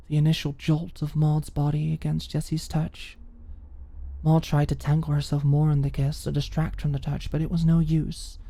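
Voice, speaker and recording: Woman, audiobook narration, low-pitched, dark mellow tone, scripted storytelling. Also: dry recording.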